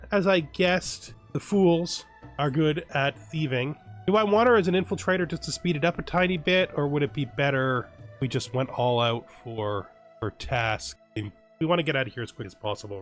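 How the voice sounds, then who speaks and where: Man muttering through a strategy game. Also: a little high. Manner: thinks aloud, hesitant, often corrects himself. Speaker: let's play host. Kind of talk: casual gameplay commentary with read-out game text.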